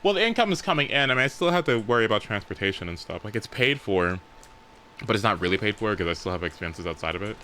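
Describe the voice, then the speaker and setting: Low-pitched, bright-voiced young man streaming. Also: animated, fast talker, a little hiss or hum. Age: young adult. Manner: informal, sweary, rambling. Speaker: live streamer. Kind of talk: casual livestream chat while playing a game.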